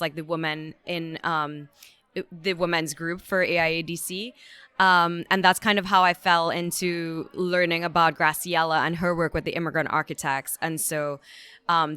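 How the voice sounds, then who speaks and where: A woman from Trinidad, an architect, answering interview questions casually, with many pauses and fillers. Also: sits low, pushed and bright, clear, resonant tone, dry recording. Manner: halting, many fillers, earnest.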